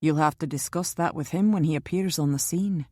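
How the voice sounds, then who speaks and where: A woman voicing a calm, protective man who speaks in a measured, reassuring and sometimes grimly insistent way in an audiobook. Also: deep voice, dry recording, studio quality. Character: calm, protective older man, steady and wry.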